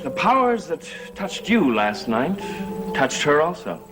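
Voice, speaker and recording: An actor playing a grave, earnest man investigating dark powers in a gothic soap opera, speaking deliberately. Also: a little high, big pitch swings, rough recording. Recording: vintage TV soundtrack with music.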